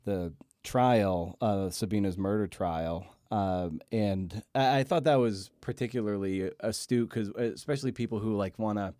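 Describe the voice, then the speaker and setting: A man hosting a conversational literary podcast, asking halting, rambling questions and often repeating words as he goes. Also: fairly low pitch, dark tone, no room sound. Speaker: podcast host and interviewer.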